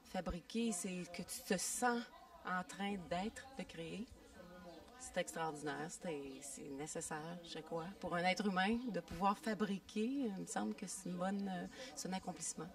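A woman's voice: on the low side, moderate pace, recorded from a distance. A woman answering with heartfelt enthusiasm on a handheld mic. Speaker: vox pop participant. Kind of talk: casual street interview.

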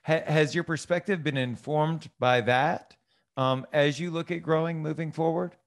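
A man's voice: a little high, steady tone, moderate pace. An American man in his thirties hosting an interview, asking questions conversationally into a close studio mic. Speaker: host, interviewer. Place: indoor home studio. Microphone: studio mic on boom arm, close.

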